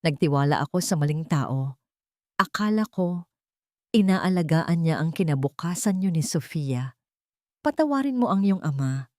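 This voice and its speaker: A woman's voice: deep voice, animated, normal speed, long pauses, darkish tone, no echo, clean studio sound. A woman storyteller playing a frail old father on his sickbed, weak and full of remorse. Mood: remorseful. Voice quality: weak, fragile.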